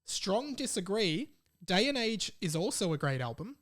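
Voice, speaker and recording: A man's voice: high-pitched, sing-song, normal speed, a bit bright. A young adult man with an Australian accent chats casually and informally as he hosts his solo podcast. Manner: informal and chatty, reads out listener messages. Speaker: podcast host.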